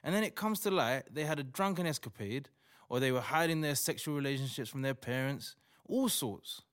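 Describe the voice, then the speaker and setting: Man acting as a skeptical doctor, animated pitch swings. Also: fairly quick, close to an everyday voice. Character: male doctor, skeptical atheist man of science, bewildered but courteous. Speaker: actor in audio play. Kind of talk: modern audio drama dialogue.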